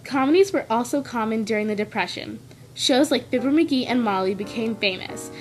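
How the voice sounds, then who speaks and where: A woman's voice: a little high, fairly quick, over loud music, poor audio. A woman reading scripted educational narration.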